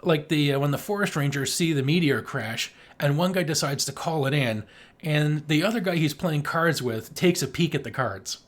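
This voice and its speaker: A man's voice: on the high side, normal speed. A man co-hosting a podcast, chatting casually about film in a rambling, jokey, sweary way. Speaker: podcast co-host.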